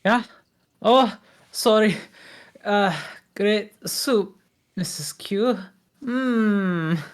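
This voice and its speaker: Woman playing an awkward male ogre, slow-paced. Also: medium pitch, clear, resonant tone. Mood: sheepish. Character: awkward, blunt, good-natured male ogre. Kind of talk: comedic table read of animated film script.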